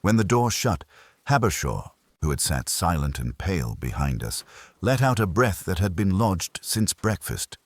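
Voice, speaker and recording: A man with a husky voice reading a scripted first-person detective story. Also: sits low, sing-song, moderate pace, dry recording. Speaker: audiobook narrator.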